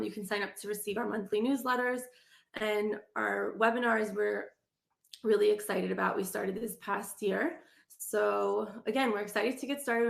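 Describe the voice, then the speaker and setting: A woman hosting a nonprofit webinar, chatty and halting with many fillers, congested from a cold, over a video call. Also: mid-range voice, gravelly, a bit bright, reverberant. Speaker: nonprofit host. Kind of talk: webinar introduction.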